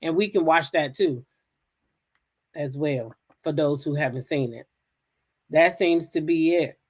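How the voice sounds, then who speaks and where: A woman's voice: sits low, a little slow, slightly rough. Blunt American woman gossiping. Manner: blunt, emphatic, repeats phrases. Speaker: host of a gossip talk show. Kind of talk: casual opinionated gossip commentary.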